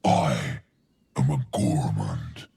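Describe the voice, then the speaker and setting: A man voicing a huge, erudite and greedy ogre in a video game, gravelly, florid and pompous, savouring his words. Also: extremely low pitch, big pitch swings, drawn-out words, dark tone, slight background noise, heavily acted. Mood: smug. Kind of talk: video game character acting. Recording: game audio with faint music.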